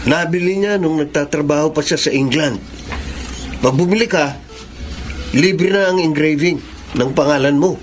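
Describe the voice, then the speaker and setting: A man's voice: a little high, normal speed, pauses often, natural voice. A man acting as a talkative, teasing young buddy in a Filipino radio drama. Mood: curious. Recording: radio drama with noisy ambience.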